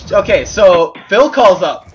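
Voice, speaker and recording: A man improvising a comic story to friends over voice chat, halting and giggly, often repeating himself. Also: high voice, big pitch swings, dull audio. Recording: noisy gaming voice chat with clicks.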